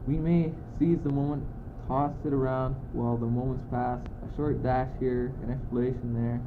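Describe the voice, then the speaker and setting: A man's voice: mid-range voice, natural voice. A teenage boy playing a philosophizing, impatient courtier in an amateur radio play, declamatory and exasperated. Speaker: student actor. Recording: noisy phone-like old school recording.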